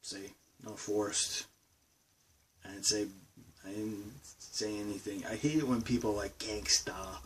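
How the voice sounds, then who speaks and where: Deep-voiced Boston man, rambling. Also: fairly even intonation, a little slow, distant mic, slight background noise, poor audio. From Massachusetts, USA. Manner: rambling, meandering talk. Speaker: vlogger. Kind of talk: casual chat to camera. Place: his bedroom studio.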